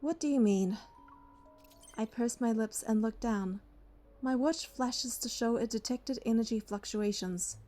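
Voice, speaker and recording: An actress playing a devoted, protective young bodyguard heroine in a romance game, telling her story in an earnest, measured way. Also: fairly high pitch, mellow, soft background music, close to an everyday voice. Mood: focused, narrating. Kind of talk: romance game narration and dialogue.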